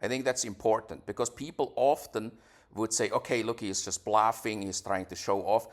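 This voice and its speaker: A man's voice: on the low side, fairly even intonation, moderate pace. Stammering, defensive Swiss man. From Switzerland. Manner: defensive, repeats words and restarts often. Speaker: YouTuber being questioned. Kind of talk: casual interview answers.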